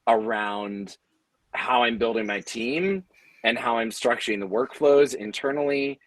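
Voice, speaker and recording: A man's voice: mid-range voice, measured pace, no echo, low-quality recording. A man speaking earnestly and conversationally on a panel, explaining how he leads his team. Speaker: audio drama company leader on a panel.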